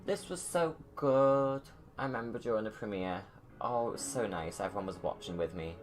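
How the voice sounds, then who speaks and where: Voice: medium pitch, normal speed. A video creator giving casual, rambling commentary on a film in an enthusiastic, gushing fan's manner. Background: music.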